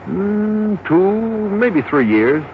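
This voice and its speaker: An American actor playing a gossipy, easygoing small-town detective sergeant in a folksy, rambling 1950s radio drama style. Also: male voice, high-pitched, sing-song, extremely slow, heavily acted. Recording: muffled, noisy vintage radio recording.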